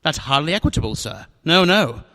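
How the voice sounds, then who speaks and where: An actor playing a smooth, fat, older male villain in a radio drama, with ornate, courtly speech and a constant "sir". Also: a little high, big pitch swings, a little fast, sharp tone, in a large hall, exaggerated voice.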